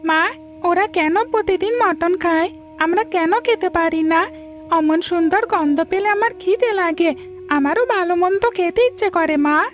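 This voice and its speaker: Woman as a very high-voiced, plaintive little boy. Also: unhurried. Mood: sad, puzzled. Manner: plaintive, childlike. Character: sad, innocent, hungry little boy. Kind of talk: cartoon folk tale dialogue.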